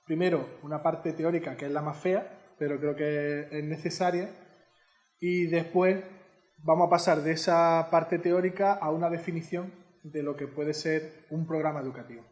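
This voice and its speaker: A man's voice: on the high side, steady tone, normal speed, a little mellow, echoey room. A man giving an informal lecture as an educator, chatty and teacherly, often asking his audience questions. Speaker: educator giving a heritage talk.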